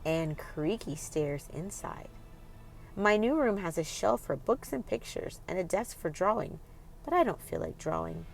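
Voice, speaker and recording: Animated woman reading a children's storybook aloud. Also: fairly low pitch, measured pace, gravelly. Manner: expressive, instructive, addresses young listeners directly. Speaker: read-aloud host for children. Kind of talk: children's storybook read-aloud with questions to listeners.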